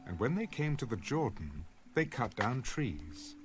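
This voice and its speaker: An actor as a solemn storyteller, giving scripted dramatic narration of scripture. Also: male voice, medium pitch, big pitch swings, a little slow, slight background noise. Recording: clear music under the voice.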